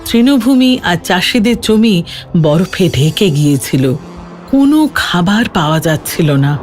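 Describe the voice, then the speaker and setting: A woman reading a scripted children's story as narrator, with an expressive storytelling delivery. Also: lowish voice, a little slow, faint music behind.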